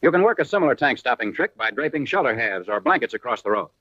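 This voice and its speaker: A man's voice: mid-range voice, very animated, quick pace, pushed and bright, dry recording, muffled recording. An American man narrating a 1940s military training film from a script, in a punchy, colloquial style full of wartime slang, on a vintage film soundtrack.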